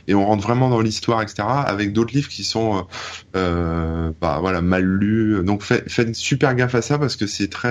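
A man from northern France rambles through a casual podcast chat with plenty of fillers and restarts. His voice sits fairly low, and he airs blunt opinions. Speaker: podcast co-host.

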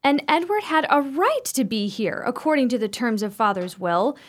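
A woman's voice: a little high, lively intonation, normal speed. A woman playing a composed married sister in an old-fashioned gothic radio drama, speaking with formal, genteel diction. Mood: earnest, troubled.

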